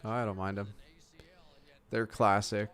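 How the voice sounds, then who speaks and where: Low-voiced easygoing man. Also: little pitch movement, a little slow, a bit bright. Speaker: co-host of a live sports watch stream. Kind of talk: casual chat, reading viewer comments.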